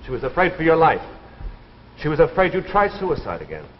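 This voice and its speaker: A man's voice: fairly high pitch, huge pitch swings, fairly quick. Earnest, emphatic younger man, echoing. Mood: firm, earnest. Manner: grave, formal, emphatic. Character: earnest, firm young man defending a daughter. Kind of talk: 1950s live television drama acting. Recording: muffled, echoing, noisy 1950s TV soundtrack.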